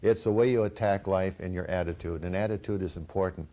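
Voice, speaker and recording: A man's voice: sits low, fast talker, mellow. A man hosting a TV program, reading phone numbers and interviewing a guest. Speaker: host.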